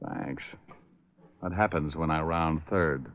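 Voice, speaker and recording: An actor playing a wry, deadpan, tough private detective in an old-time radio noir drama. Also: male voice, extremely low pitch, slow. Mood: flirtatious. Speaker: lead actor and narrator of a detective radio show. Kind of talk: old-time radio noir acting with first-person narration. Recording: dull old radio recording, music behind.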